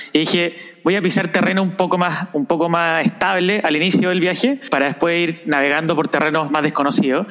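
A man's voice: on the high side, fairly bright tone. A man speaking Spanish as a podcast guest, telling his story in a thoughtful way and often repeating words as he searches for the right phrasing. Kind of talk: conversational interview, reflective storytelling.

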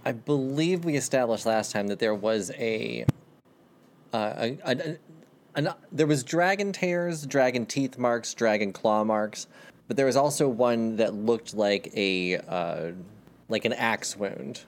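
A man running a tabletop game as game master, halting and searching for words. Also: medium pitch, expressive.

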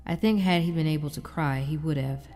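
A woman's voice: low voice, brisk, dark tone, faint music behind, close to an everyday voice. A woman playing an anguished but determined wife and mother in an audio drama, narrating in a confiding, reflective way. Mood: wistful. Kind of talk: first-person dramatic narration.

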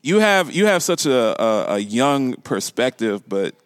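A man's voice: mid-range voice, sing-song, unhurried, clear, resonant tone, dry recording, faint music behind. Male talk radio host, dry close sound. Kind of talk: casual talk radio chat.

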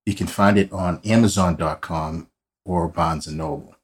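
Boston-accented male podcast host, forties, very low voice, dry wry delivery. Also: fairly even intonation, moderate pace. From South Boston, USA. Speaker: podcast host, private investigator. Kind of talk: scripted podcast narration.

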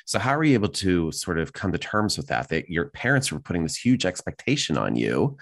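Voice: low voice, big pitch swings, fairly quick. A podcast host asking a guest questions and giving many short encouraging backchannels. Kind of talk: casual interview conversation.

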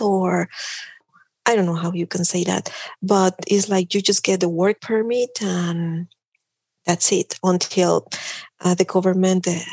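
Gravelly Mexican-accented woman, dry sound. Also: medium pitch, darkish tone, no room sound. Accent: Mexican Spanish. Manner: earnest, many restarts and "like" fillers. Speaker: guest, image consultant. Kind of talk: casual interview talk.

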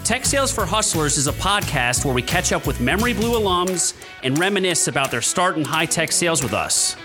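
A man's voice: fairly high pitch, animated, brisk. A man hosting a podcast, reading the show intro in a breezy, playful way. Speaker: podcast host. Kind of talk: show intro and casual banter. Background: guitar music.

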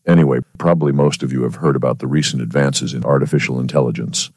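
Stuffy radio guy with a cold, super deep voice, wry scripted segment. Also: fairly even intonation, fast, darkish tone, breathy edge, no echo, clean studio sound. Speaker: co-host.

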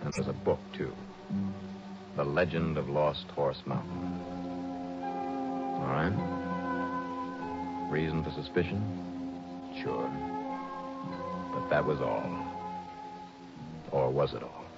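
An old, dull-sounding radio recording with loud music behind a tough American male detective. His voice is extremely deep and swings dramatically, terse and determined as he works a case. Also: creaky, heavily acted. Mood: terse, suspenseful. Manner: terse, brisk, hard-boiled. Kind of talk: 1960s radio detective acting, clipped dramatic narration.

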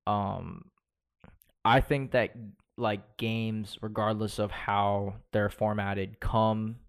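Smooth-voiced young man, casual and profane. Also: lowish voice, steady tone, takes it slowly. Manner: loose, profane, riffing. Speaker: podcast co-host. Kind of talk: casual podcast chat.

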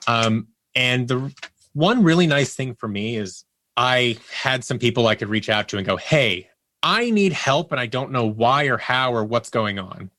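A man's voice: mid-range voice, lively intonation, a little slow, bright, forceful tone, dry recording. A man speaking as an interview guest, telling personal stories candidly and reflectively, often voicing his inner thoughts as quotes. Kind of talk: candid personal storytelling in conversation.

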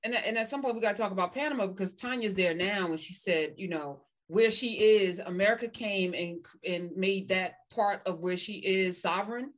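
A woman co-hosting a casual talk show, speaking briskly and emphatically with blunt asides. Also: medium pitch. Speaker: podcast co-host. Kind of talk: casual conversational discussion.

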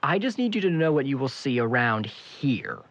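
A cocky young man played by a male actor in an audio drama, sardonic and evasive. His pitch moves in lively swings as he needles people with flippant remarks. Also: normal speed, highs cut off. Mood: bitter. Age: young adult. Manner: sarcastic, flippant.

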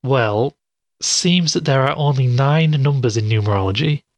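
A man acting a skeptical male investigator hosting a podcast in an audio drama. He has a mid-pitched voice in a very dry recording. He reasons aloud haltingly, unconvinced. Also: dry recording, lightly acted. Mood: puzzled, skeptical. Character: skeptical male investigative show host puzzling over clues.

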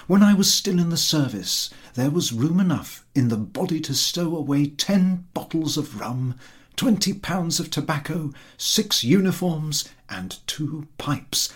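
Man playing a high-voiced, fawning, boastful landowner. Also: animated, a little slow, husky. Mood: boastful, delighted. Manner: obsequious, effusive, over-polite. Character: eager, boastful, fawning landowner flattering a superior.

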